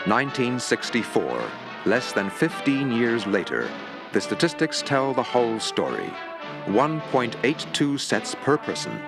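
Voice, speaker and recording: A man's voice: medium pitch, huge pitch swings, a little slow, creaky, rough recording. A man narrating a mock documentary in a grave, dramatic tone. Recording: music underneath.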